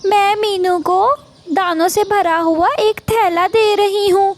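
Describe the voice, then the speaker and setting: A woman's voice: extremely high pitch, fairly even intonation, takes it slowly, voice pushed up. A woman voicing a vain, scheming female crow in a cartoon, bossy and showy. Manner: showy, self-important, bossy. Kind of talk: Hindi cartoon character acting.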